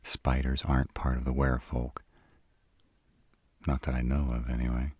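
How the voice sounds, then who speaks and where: A man playing a sarcastic, brooding vampire with a soft spot, dry and grudging, in a roleplay audio drama. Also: extremely low pitch, little pitch movement, moderate pace, slightly rough, dry recording. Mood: teasing, dry.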